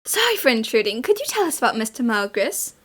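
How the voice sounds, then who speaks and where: Bright, high-pitched young detective heroine, played by a woman; inquisitive, eager, animated anime-dub delivery. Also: fast talker. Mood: curious. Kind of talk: amateur anime fan dub acting.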